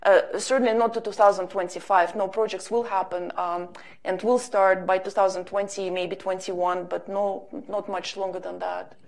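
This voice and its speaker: A woman's voice: medium pitch, little pitch movement, normal speed, vocal fry. Woman on a panel answering questions, lots of ums and restarts, careful. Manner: hesitant, careful, many ums and self-corrections. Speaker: panel speaker on energy markets.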